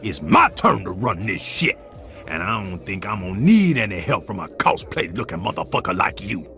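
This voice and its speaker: Very deep, swaggering thug. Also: male voice, very animated, moderate pace, bright, forceful tone, faint music behind, full character voice. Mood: gloating. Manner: swaggering, sneering, colloquial drawl. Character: gloating, foul-mouthed male thug out to take over. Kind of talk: dramatic video game acting.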